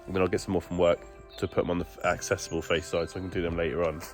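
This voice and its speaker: A man's voice: low voice, little pitch movement, racing, faint music behind. A man explaining a garden build step by step, recorded outdoors on a phone. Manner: chatty, explaining each step. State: congested, stuffy nose. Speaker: DIY vlogger. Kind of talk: casual building update. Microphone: phone camera.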